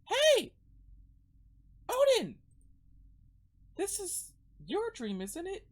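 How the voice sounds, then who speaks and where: A woman acts out an innocent young girl from a comic, high and soft. She sounds hesitant and questioning, with a lilting, very mobile pitch and a tender, encouraging temper. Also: wildly swooping pitch, extremely slow, heavily acted. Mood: concerned, coaxing. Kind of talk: voiced comic read-aloud.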